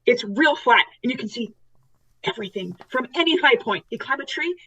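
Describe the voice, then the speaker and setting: A woman's voice: on the high side, expressive, moderate pace, bright, forceful tone, slight background noise, low-quality recording. A woman playing a rambling, insistent survivor on a dinosaur island in a tabletop roleplaying game, repeating herself as she tells stories. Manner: repetitive, rambling storyteller.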